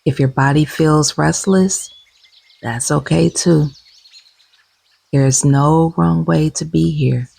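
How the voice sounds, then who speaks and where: A woman leading a guided meditation gently and soothingly, with soft, breathy, husky tones and long unhurried pauses. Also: low voice, fairly even intonation, no room sound.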